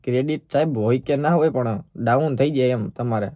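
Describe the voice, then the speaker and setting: A man's voice: medium pitch, normal speed, dry recording, muffled recording. A man with a Saurashtra Gujarati accent making a prank call as a polite, persistent bank employee. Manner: polite, persistent, official-sounding. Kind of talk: prank phone call in casual dialect.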